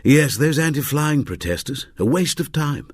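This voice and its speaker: A man's voice: a little high, expressive, normal speed, slightly rough, no echo, clean studio sound, voice pushed up. A man voicing a self-satisfied, irritable businessman in a story, blustering and indignant. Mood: dismissive.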